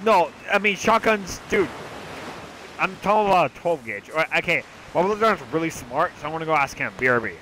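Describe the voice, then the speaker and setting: Excitable young male gamer, rambling, a bit high-pitched, noisy game sounds. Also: fairly quick. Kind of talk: casual gaming chat.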